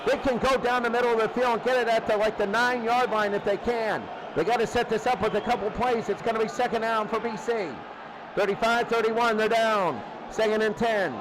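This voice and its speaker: High-voiced male sports announcer, live football play-by-play, short clipped phrases, noisy background. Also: steady tone, fairly quick. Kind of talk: live radio-style football commentary. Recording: noisy recording.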